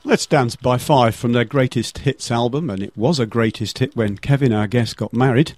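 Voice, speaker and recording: A man's voice: mid-range voice, moderate pace, darkish tone, no room sound. A man hosting a casual local radio interview in a jokey, bantering way. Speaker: radio show host. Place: radio studio.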